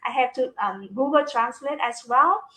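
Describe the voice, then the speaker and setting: Bright high-voiced Vietnamese-accented woman. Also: normal speed. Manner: animated, laughs often, repeats words. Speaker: talk show co-host. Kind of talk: casual chat.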